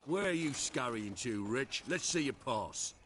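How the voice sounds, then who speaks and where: An actor playing a bullying, bigoted city guard in a fantasy game, with rough, sneering colloquial speech. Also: male voice, medium pitch, lively intonation, a little slow, fairly bright tone, a little hiss or hum.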